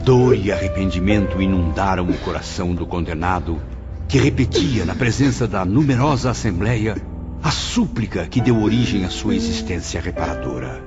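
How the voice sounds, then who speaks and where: Deep-voiced solemn male radio narrator. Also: lively intonation, moderate pace. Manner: solemn, grave. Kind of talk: dramatic scripted radio narration. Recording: muffled radio production with music behind.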